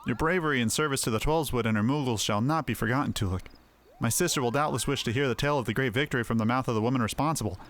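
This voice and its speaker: A man's voice: medium pitch, rapid-fire, fairly bright tone, natural voice. A man voicing a courteous young noble messenger from a fantasy game, in polite, archaic phrasing. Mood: courteous, formal. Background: faint music.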